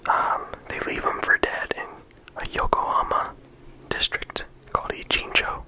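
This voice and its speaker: An ASMR creator whispering softly throughout, talking casually with frequent ums and likes into a close microphone. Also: a little slow, rough recording. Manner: soft unvoiced whisper throughout. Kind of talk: whispered ASMR game discussion.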